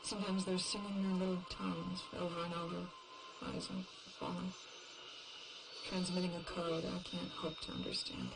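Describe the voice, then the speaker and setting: A woman playing a haunted, bitter lone survivor in a horror audio drama, brooding and halting in her delivery. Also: on the low side, lively intonation, vocal fry, noisy background. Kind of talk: first-person horror audio drama monologue.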